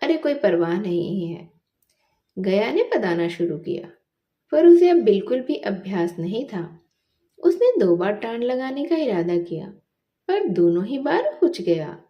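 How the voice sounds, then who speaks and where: A woman reading a story expressively, with dramatic pauses and emphatic repetition. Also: fairly high pitch, sing-song, dull audio.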